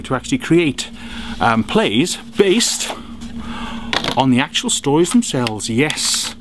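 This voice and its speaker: A man in mid-range pitch reviews audio dramas with animated, gushing praise and quick jokes. A faint hum sits behind his voice. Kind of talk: enthusiastic product review.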